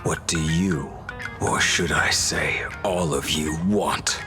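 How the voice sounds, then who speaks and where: An actor playing a cold, brooding fighter in a video game, with a breathy, half-whispered menace. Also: male voice, a bass voice, steady tone, takes it slowly. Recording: music behind the voice.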